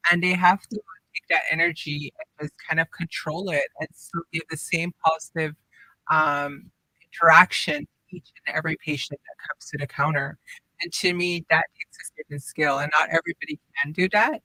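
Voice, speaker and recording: A woman's voice: sits low, steady tone, normal speed, sharp tone, no room sound, poor audio. A young woman from Guyana, raised in the Cayman Islands, answering radio interview questions earnestly and upbeat. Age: young adult. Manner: earnest, upbeat, explains at length. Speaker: hospital operations manager, guest. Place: radio studio.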